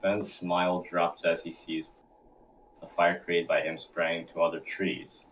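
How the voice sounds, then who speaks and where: Low-voiced man narrating a script. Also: completely flat delivery, a little slow, a bit bright, distant mic, muffled recording, slight background noise. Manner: stumbles over script. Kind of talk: scripted narration, table read.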